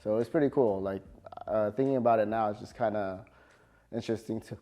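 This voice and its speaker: Laid-back man with a low, mellow voice. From California, US. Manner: relaxed, halting, full of "like" fillers. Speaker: tattoo artist. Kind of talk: casual interview.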